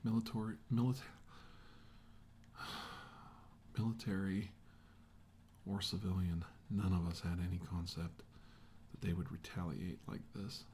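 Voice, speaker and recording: A middle-aged man playing a grim, remorseful military scientist in an ASMR drama, speaking in hushed, halting phrases that often drop to a whisper. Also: low-pitched, steady tone. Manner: hushed, halting, slow delivery in short phrases. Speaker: ASMR role-play actor. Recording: hushed voice over clear background noise.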